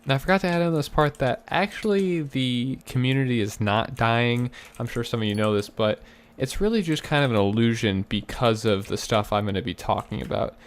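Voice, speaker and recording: A young man with a slightly raspy voice giving casual gameplay commentary, explaining himself earnestly with fillers and restarts. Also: medium pitch, fairly quick. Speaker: gaming YouTuber.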